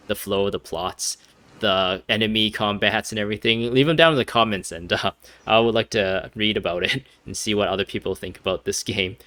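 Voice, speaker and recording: Low, bright-voiced young man. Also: no echo. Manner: rambling, hesitant, thinking aloud. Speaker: gaming video host. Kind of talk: casual playthrough commentary.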